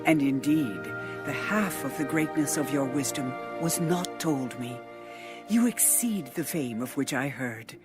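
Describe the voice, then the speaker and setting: An ancient queen addresses a king with reverence and admiration. Her delivery is slow, stately and measured, in dramatized audio scripture style, with music playing underneath. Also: very deep voice, lively intonation. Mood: reverent awe. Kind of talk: dramatized audio Bible reading.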